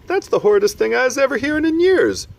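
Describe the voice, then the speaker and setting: A man's voice: mid-range voice, normal speed, breathy edge, pitched above the performer's own voice. A man voicing a huge, tender-hearted old male giant who talks with mangled grammar and made-up words. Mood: indignant. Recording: steady background noise.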